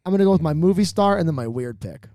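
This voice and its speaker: A man's voice: fairly high pitch, expressive, very fast, dark tone, no room sound. A man co-hosting a podcast in casual banter, with a wry, dry sense of humor. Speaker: podcast co-host.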